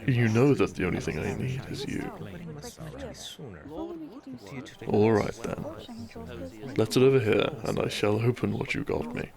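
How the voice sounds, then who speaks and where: Male actor as affectionate gentleman doctor for romance audio drama; low register, gravelly fry, gentle and formally worded. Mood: tender, curious. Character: gentle, devoted male country doctor and bridegroom.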